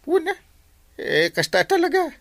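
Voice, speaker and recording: A man's voice: very high-pitched, huge pitch swings, measured pace, dry recording, heavily acted. A man playing a grumpy, reluctant village husband in an Ilocano radio comedy drama, grumbling dismissively with an Ilocano accent.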